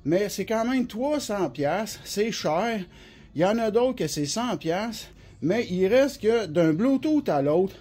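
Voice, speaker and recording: A man's voice: high voice, dark tone. A man with a Québécois French accent and a touch of flu, giving an informal, colloquial, step-by-step product explanation and often repeating himself. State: has the flu, coughing now and then. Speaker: CB radio specialist giving a product demonstration. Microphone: phone held by the speaker.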